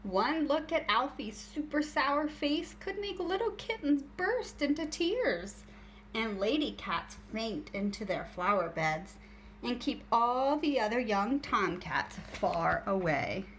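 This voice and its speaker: A woman teacher reading a picture book aloud to young children in an expressive, deliberate storytelling way. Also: fairly high pitch, slight background noise, poor audio.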